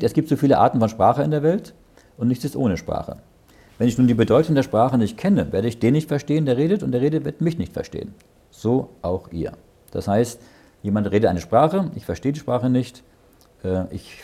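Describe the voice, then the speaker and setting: A man's voice: medium pitch, creaky, warm tone, slightly rough. A man leading a Bible study. He reads verses aloud, explains them in plain words and often checks with "ja?". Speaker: Bible teacher.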